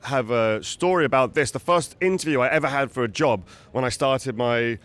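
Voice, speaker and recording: A man's voice: mid-range voice, moderate pace, a bit bright. A man hosting a casual walking interview, chatty and self-deprecating, asking his guest questions. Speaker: interview host.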